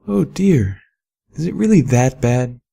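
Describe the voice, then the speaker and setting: An elegant unicorn songstress, played by a male reader in a storybook reading. The voice is lifted high, smooth and soft, with refined, gracious manners and a gently kind temper. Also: lively intonation, measured pace, full character voice. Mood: gentle concern. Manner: polite, refined.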